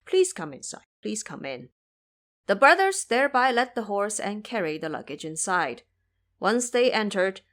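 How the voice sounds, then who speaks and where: A woman's voice: medium pitch, fairly bright tone. A woman reading scripted narration for an audio drama adventure story.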